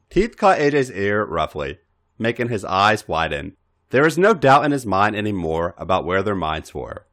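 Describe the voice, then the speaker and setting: Deep-voiced man narrating with sweeping pitch. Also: a bit bright. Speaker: podcast narrator reading fan fiction. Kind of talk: expressive scripted story narration.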